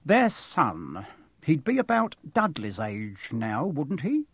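A man's voice: medium pitch, big pitch swings, takes it slowly, dry recording, lo-fi sound, full character voice. A man voicing a nervous middle-aged English husband who hesitates and mumbles evasively in an audiobook. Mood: falsely casual.

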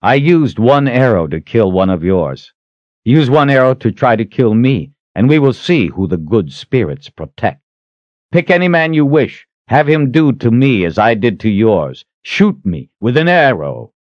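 A man's voice: mid-range voice, big pitch swings, a little slow, no room sound, dull audio, pitched above the performer's own voice. A man voicing a cold, defiant fantasy hero in an audiobook, taunting and intense. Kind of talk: dramatic audiobook character acting.

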